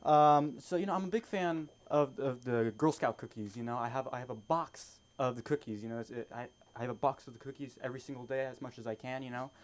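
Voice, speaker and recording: A man doing observational stand-up in a halting way, repeating phrases and checking in with little tag words. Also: medium pitch. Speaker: stand-up comedian. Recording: noisy live open mic room.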